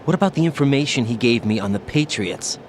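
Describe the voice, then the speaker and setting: Guy playing a young special ops agent in a video game, earnest, uneasy, questioning everything, medium pitch, noisy behind. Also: animated, fast talker, close to an everyday voice. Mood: troubled, questioning. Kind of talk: dramatic video game voice acting.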